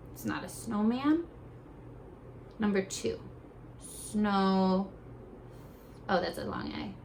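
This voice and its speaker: A young woman teacher at home on her kitchen floor, reading aloud slowly and sounding out words for young children. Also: on the high side, a bit bright, a little hiss or hum. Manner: slow, encouraging, sounding out words.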